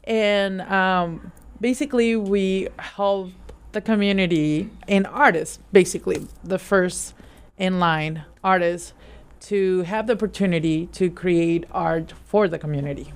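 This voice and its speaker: A woman's voice: lowish voice, fairly even intonation, measured pace, smooth. A woman from Venezuela, a guest on an interview who talks hesitantly and often restarts her sentences. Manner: hesitant, searching for words, many restarts. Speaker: guest, nonprofit art director. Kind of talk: casual interview talk.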